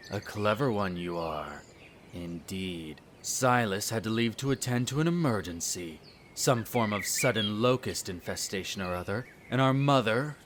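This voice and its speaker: Birdsong and nature sounds surround a man acting as a haughty vampire nobleman in an audio roleplay. His voice sits fairly low, and he speaks in formal, condescending phrases. He sounds smug and quietly menacing. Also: a little hiss or hum. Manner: condescending, formal, drawling disdain. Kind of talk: scripted audio roleplay monologue. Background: birdsong, garden nature ambience.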